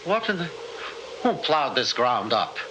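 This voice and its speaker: Arrogant scientist husband, wildly swinging delivery. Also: male voice, medium pitch, very animated, a little slow. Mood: irritated, bewildered. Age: middle-aged. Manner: imperious, scornful, theatrical. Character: cold, arrogant, selfish middle-aged scientist husband. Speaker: actor in radio drama. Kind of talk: 1960s radio horror acting. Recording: noisy old radio recording.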